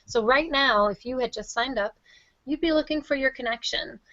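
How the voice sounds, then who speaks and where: A woman's voice: high voice, moderate pace, fairly bright tone, dry recording, lo-fi sound. A woman giving enthusiastic, effusive, conversational answers as an interview guest. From Wisconsin, United States. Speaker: interview guest, teacher and reading project founder.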